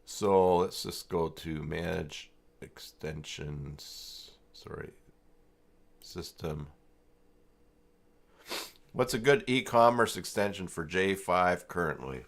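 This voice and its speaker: A man hosting a casual, unscripted livestream tutorial, talking haltingly as he thinks aloud through each step. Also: deep voice. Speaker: livestream host and web tutorial presenter.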